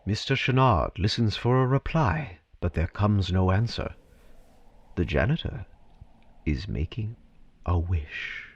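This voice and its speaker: A man narrating a scripted story theatrically, with dramatic, deliberate phrasing and pauses. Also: on the low side, expressive, dull audio, slight background noise.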